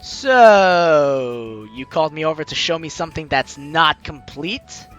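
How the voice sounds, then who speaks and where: A man hosts a let's play, reading narration and dialogue aloud with swooping, animated pitch. His voice is bright and forceful, his delivery playful and theatrical, with faint game music behind him. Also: unhurried, highs cut off. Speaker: host of a game playthrough. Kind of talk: let's play, reading visual novel lines aloud expressively.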